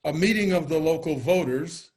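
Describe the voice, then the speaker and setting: A man reading as a town historian in a staged reading, formal and deliberate. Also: a little high, a little mellow, breathy edge. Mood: solemn, measured. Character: male town historian recounting a colonial vote. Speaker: reader in a reader's theater.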